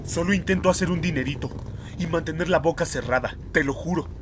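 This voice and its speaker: A man's voice: a little high, brisk, noisy background, close to an everyday voice. A man with a Latin American Spanish accent playing a cornered, defensive street hustler in a crime game fan dub. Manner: defensive, insistent.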